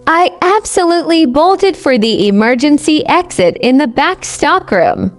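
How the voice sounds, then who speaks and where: A woman narrating a scripted first-person horror story in a conversational but deliberate, dramatic way. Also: sits high, big pitch swings. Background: music bed.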